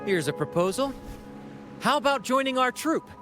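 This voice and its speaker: A man's voice: extremely high pitch, sing-song, moderate pace. A man voicing a bold, flamboyant young captain of roving players in a video game, theatrical and gallant. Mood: gallant, reassuring. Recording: background music under the voice.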